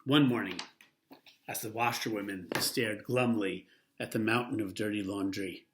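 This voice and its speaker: Man reading a picture book aloud. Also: mid-range voice. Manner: lively, expressive read-aloud delivery. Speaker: storyteller.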